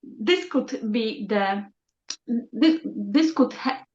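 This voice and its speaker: Halting non-native woman, echoey lo-fi call. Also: a little high, expressive. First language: not English. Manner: searching for words, halting, reflective. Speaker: session participant. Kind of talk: sharing reflections in a group call.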